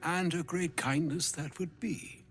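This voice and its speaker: An actor playing an ancient, pompous, grumbling old wizard in a video game, with ornate archaic speech. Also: male voice, fairly high pitch, steady tone, a little slow, fairly bright tone, faint music behind. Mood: grumbling, weary. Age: older. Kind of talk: video game character acting.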